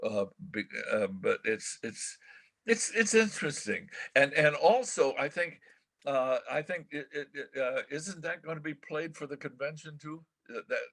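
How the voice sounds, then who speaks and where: Older American male radio veteran, rambling storyteller; husky, forceful delivery, dry close sound. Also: fairly high pitch, no echo. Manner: rambling, halting, animated storyteller. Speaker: veteran radio broadcaster, guest. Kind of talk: casual reminiscing chat. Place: remote video call.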